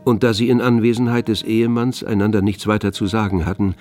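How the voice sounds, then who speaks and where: Man as low-voiced, wry literary narrator. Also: little pitch movement, quick pace, darkish tone, faint music behind, close to an everyday voice. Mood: detached. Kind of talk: scripted radio drama narration.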